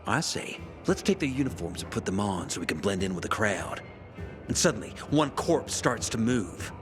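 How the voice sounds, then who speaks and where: A jokey scoundrel locked up in a video game. His pitch sits low but leaps around in excited exclamations. He wheedles and spins tales, with music playing behind him. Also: moderate pace. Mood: animated storytelling. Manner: joking, wheedling storyteller, swinging into exclamations. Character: wisecracking male prisoner, fast-talking scoundrel who jokes through misery. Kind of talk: video game cutscene acting.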